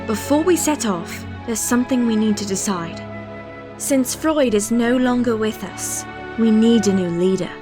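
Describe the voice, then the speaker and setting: A woman's voice: fairly high pitch, expressive, a little slow. Woman playing a solemn heroine over music. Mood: resolute. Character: earnest, dignified heroine, solemn and decisive. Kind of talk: fan-dub fantasy game voice acting.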